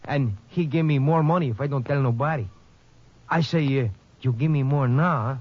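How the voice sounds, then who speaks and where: Guy doing a nervous boatman, broken english, halting, muffled old radio sound. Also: medium pitch, close to an everyday voice. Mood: anxious. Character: nervous Mexican boatman, eager to please. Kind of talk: 1950s radio drama acting. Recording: dull lo-fi old radio recording.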